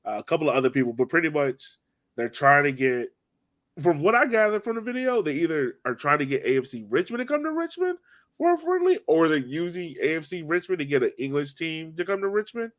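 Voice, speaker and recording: A man's voice: fairly high pitch, lively intonation, brisk, fairly bright tone. A man hosting a sports podcast, chatting informally and slangily as a fan. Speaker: podcast host. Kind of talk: casual sports chat.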